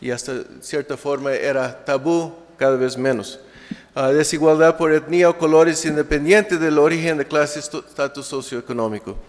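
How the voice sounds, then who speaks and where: A man's voice: fairly high pitch, fairly even intonation, moderate pace. A man giving an academic conference talk in a language that is not his first, with frequent fillers and restarts. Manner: hesitant, many fillers and restarts; speaking a second language. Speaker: conference speaker. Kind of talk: academic presentation. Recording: reverberant lecture hall.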